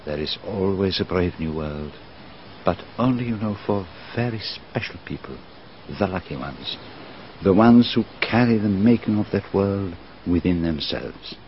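An actor playing a fastidious middle-aged detective, speaking in a courteous, formal, probing way. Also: male voice, sits low, expressive. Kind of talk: 1980s-style BBC radio drama. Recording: dull lo-fi archive radio, faint hiss.

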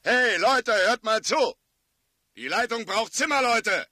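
An actor playing a brusque, bullying Soviet camp official in a radio drama, with a curt, commanding manner. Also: male voice, very high-pitched, animated, a little slow, pushed and bright, no room sound, studio quality, full character voice. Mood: barking, impatient.

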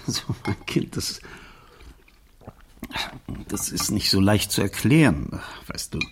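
An actor playing a strait-laced, embarrassed middle-aged uncle in a radio crime drama, husky and stammering with evasive hesitation. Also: male voice, lowish voice, very animated, takes it slowly, noisy background. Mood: awkward, evasive.

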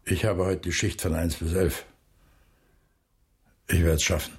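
A dutiful, middle-aged factory foreman in a radio drama. His voice is low and rough-edged. He is a man of few, plain words. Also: little pitch movement, unhurried, full character voice. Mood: subdued. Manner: terse, plain-spoken.

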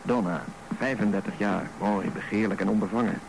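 A man's voice: on the low side, fairly quick, gravelly, a little mellow. A man narrating a scripted radio drama as storyteller. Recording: noisy radio broadcast recording.

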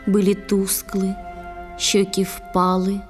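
A woman's voice: mid-range voice, completely flat delivery, slow. A woman narrating an audio play in verse, in a restrained and mournful storytelling manner. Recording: clear flute music behind the voice.

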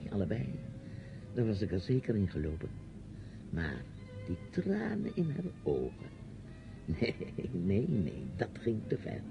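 Probing uncle, animated, dull old recording. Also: male voice, mid-range voice. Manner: insistent questioning, patronising. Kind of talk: radio drama acting. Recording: dull old radio play recording, music.

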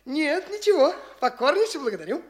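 A woman's voice: sits high, a little fast, reverberant. A woman playing a boastful, flighty young man posing as an official, condescending to the locals. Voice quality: swaggering, lively, condescending. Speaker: actor in a radio play. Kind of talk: stage radio play acting.

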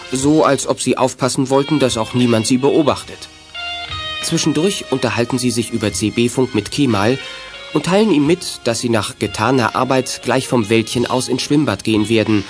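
A man's voice: mid-range voice, moderate pace, music in the background, lightly acted. A man narrating a German youth adventure radio play as a scripted storyteller.

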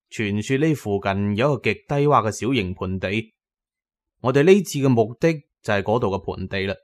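A male audiobook narrator with a Cantonese accent and a fairly low voice. He reads scripted adventure fiction as a dramatic storyteller.

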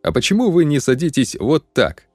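A man's voice: on the high side, very animated, normal speed, darkish tone, no echo, faint music behind, pitched above the performer's own voice. A man doing a cheerful naive young soldier. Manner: friendly, easygoing, a little sheepish.

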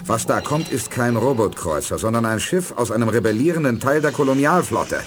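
A man acting as a commanding space leader in an eighties sci-fi radio drama. His mid-pitched voice swings with lively intonation and decisive authority, over faint background noise. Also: a little fast, lightly acted. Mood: grave, focused. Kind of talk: 1980s German science fiction radio play acting.

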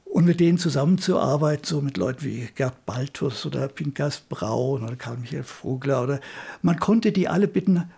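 Creaky, husky older man reminiscing. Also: medium pitch, expressive, moderate pace, mellow. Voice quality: raspy, creaky. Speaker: radio drama director. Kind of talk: reminiscing in an interview.

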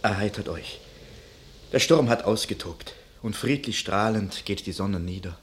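An actor playing a gentle, devoted, protective country companion, speaking earnestly in a classical verse radio drama. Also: male voice, fairly low pitch, measured pace, natural voice. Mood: caring. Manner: earnest, pleading. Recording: noisy recording with ambience.

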